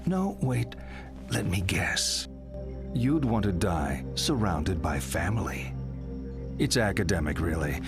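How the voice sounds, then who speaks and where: A man playing an older, morbid psychiatrist in a video game, deliberate, needling and theatrical. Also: low-pitched.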